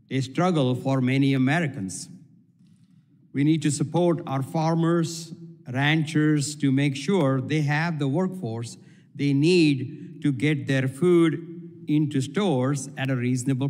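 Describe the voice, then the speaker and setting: A man, a congressman, reading prepared questions to a witness in a deliberate way. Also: medium pitch. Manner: slow, deliberate, reads prepared remarks. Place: large hearing room. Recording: long reverb of a hearing room.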